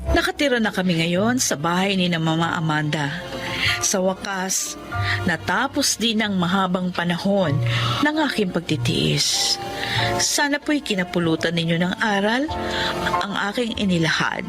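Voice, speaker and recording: A woman's voice: lowish voice, lively intonation. A woman playing a reflective storyteller recounting her own life in a sentimental radio drama. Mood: tender, relieved. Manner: measured, sentimental. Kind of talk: first-person radio drama narration. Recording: narration over clear background music.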